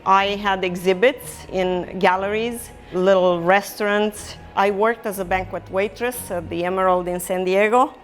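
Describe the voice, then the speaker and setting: Reflective woman. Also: lowish voice. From Romania. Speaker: artist and gallery owner. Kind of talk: personal storytelling in a documentary interview.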